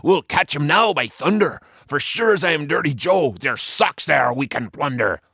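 Man playing blustering, roaring pirate captain, raised pitch. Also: expressive, normal speed, creaky, sharp tone, breathy edge, no echo, studio quality, exaggerated voice. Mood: blustering, commanding. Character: Dirty Joe, blustering male pirate captain.

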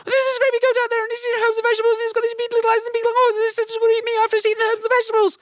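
A man voicing an excitable little girl in a story, babbling and insistent. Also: very high voice, no echo, studio quality, pitched above the performer's own voice. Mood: panicked. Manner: rushed, repetitive babbling.

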